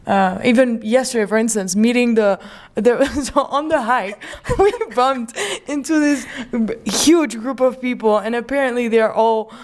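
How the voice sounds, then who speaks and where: Female host, higher-pitched, eager, rambling conversational delivery. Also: measured pace. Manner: rambling, restarts often; enthusiastic. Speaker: podcast host. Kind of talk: casual conversation.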